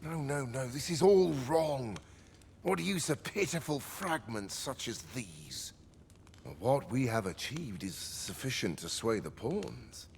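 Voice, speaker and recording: Haughty male scholar-inventor for a fantasy game: very animated, unhurried, archaic diction, swinging from mutter to exclamation. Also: mid-range voice, vocal fry, slight background noise. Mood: fretful, dissatisfied. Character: obsessive, haughty, excitable male scholar-inventor of magical devices. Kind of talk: video game cutscene acting.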